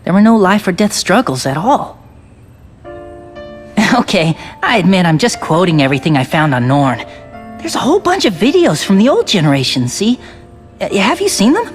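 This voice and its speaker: Woman as lively, chatty, boyish young soldier. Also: medium pitch, moderate pace, faint music behind, close to an everyday voice. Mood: chatty, wistful. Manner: chatty, informal, earnest. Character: cheerful, talkative, boyish young soldier, earnest and teasing. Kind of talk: English video game voice acting.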